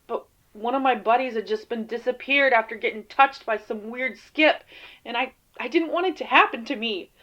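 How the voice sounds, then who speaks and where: A woman playing a weary, defensive field agent in an audio drama interview, speaking haltingly and casually. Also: on the high side, a bit bright, lo-fi sound, lightly acted. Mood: uneasy, hesitant.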